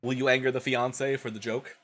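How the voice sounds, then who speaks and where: A man streaming a comedic dramatic reading in a theatrical, expressive manner. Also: mid-range voice, brisk.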